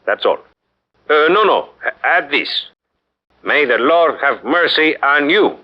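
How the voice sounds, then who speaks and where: An actor playing a proud Spanish fort commander who dictates a defiant reply in a lofty, formal manner, in 1940s radio acting. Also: male voice, a little high, lively intonation, slow. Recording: dull lo-fi 1940s radio broadcast.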